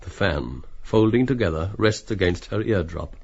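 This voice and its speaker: A man's voice: low-pitched, expressive, moderate pace, vocal fry, a little mellow, breathy edge, highs cut off. A man reading literary stage directions as scripted audiobook narration.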